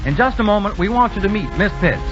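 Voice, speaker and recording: A man's voice: sits high, animated, fast talker, highs cut off, music in the background. A man hosting a 1930s radio theater broadcast in a scripted announcer's delivery.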